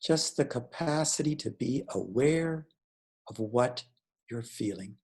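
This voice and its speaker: A man leading a guided meditation as a teacher, speaking in a measured, deliberate way with pauses between phrases. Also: mid-range voice, expressive, darkish tone.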